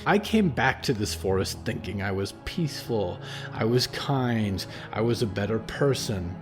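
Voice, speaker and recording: A man's voice: on the low side, animated, a little slow, over music. A man voicing an angry, guilt-torn young man who burns when enraged. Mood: furious. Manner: strained, rising, outbursts.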